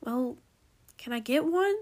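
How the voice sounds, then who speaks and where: A woman voicing a fiery, defiant young female dragon in a story, forceful and argumentative. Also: on the high side, level tone, takes it slowly, slightly rough, exaggerated voice. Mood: indignant.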